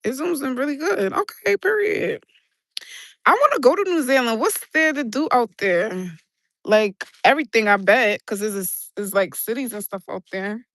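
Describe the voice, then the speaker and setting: A woman with a New York accent rambles through a casual live stream, excitable and quick to swear. Her voice is bright and sits on the higher side. She talks into her phone up on an outdoor rooftop, and the sound is dry and close. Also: no room sound. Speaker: livestreamer. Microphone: handheld phone.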